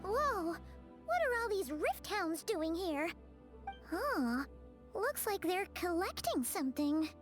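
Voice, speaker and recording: A woman voicing a tiny, childlike, excitable and easily spooked female companion in an anime video game. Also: extremely high pitch, takes it slowly, soft background music, exaggerated voice. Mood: startled, curious. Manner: refers to self in third person, exclamatory. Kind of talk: anime game character acting.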